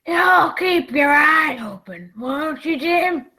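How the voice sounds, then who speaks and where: A woman playing a gruff, bossy old pirate sea captain in a radio play, with a gravelly voice and salty, commanding sailor talk. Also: high-pitched, expressive, slow pace, bright, forceful tone, exaggerated voice. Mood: wary, secretive.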